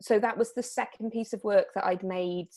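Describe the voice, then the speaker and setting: A woman's voice: mid-range voice, fairly even intonation, normal speed, a little mellow. Hesitant woman artist. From South Wales. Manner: hesitant, lots of fillers and restarts. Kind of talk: casual interview chat.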